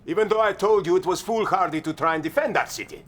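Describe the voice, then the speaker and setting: An actor playing a proud, scornful Soviet general in a video game cutscene, haughty and sarcastic, with a Russian accent. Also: male voice, high-pitched, fast. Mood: sarcastic disdain.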